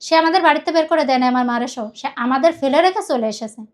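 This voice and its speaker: A woman with a Bangladeshi accent gives a personal account in a very high voice. She talks quickly and emphatically, rambling and circling back over her own words as a guest telling her story. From Khulna, Bangladesh. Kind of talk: personal confessional account in conversation.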